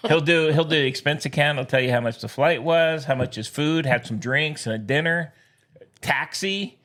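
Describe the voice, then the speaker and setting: A man's voice: fairly high pitch, moderate pace, fairly bright tone. A man co-hosting a podcast in casual banter, playful and enthusiastic, teasing his co-host.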